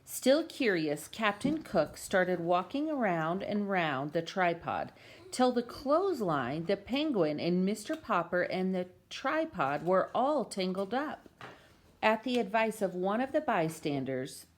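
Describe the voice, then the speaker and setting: A woman's voice: medium pitch, very animated, unhurried. A woman reading a children's story aloud expressively, as a parent does for the family at home.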